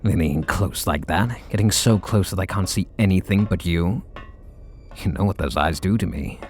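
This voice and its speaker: A man voicing a brooding, guarded male super villain in an ASMR audio roleplay, speaking softly and confidingly with blunt swearing. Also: normal speed, a little hiss or hum, lightly acted. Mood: flustered. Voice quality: low gravelly, husky voice.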